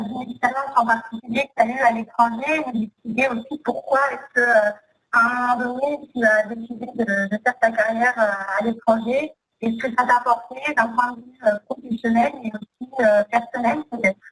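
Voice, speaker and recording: Fairly high-voiced woman moderating. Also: steady tone, moderate pace, fairly bright tone, poor audio. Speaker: panel moderator. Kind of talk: informal, unscripted interviewing.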